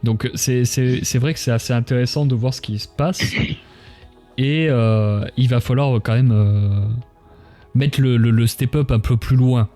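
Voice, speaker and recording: A man with a mellow, soft-edged voice in a casual livestream conversation. He speaks colloquial opinion, blunt and emphatic. Light music plays behind him. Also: medium pitch, unhurried.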